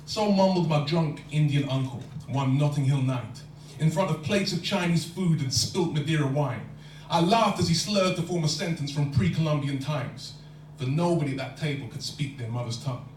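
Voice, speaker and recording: Poet reciting in an echoey hall. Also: male voice, on the high side, normal speed, a little mellow. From London. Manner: rhythmic rhyming delivery. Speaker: spoken word poet. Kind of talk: spoken word poetry performance. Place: lecture hall. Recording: echoey hall from far off, faint hum.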